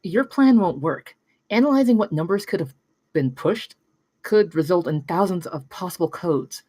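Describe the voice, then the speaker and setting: A woman acting a clever, blunt young tech whiz in a table read, with a husky voice. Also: fairly low pitch, expressive, normal speed, mellow, dry recording. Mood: blunt, analytical.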